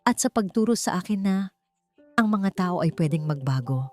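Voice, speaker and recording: A woman voicing an earnest young female graduate with a firm, heartfelt, measured delivery in an audiobook. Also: fairly low pitch, lively intonation, a little mellow, close to an everyday voice. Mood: soft, grateful. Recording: music bed under the voice.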